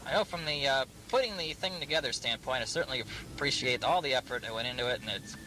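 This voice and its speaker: A man giving casual, rambling commentary in a halting, self-deprecating way. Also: medium pitch, background noise.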